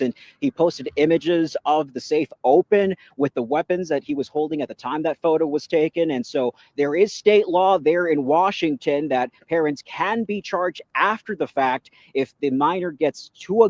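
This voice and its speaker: A man's voice: on the high side, darkish tone, no echo. A man reporting on broadcast news as a television correspondent.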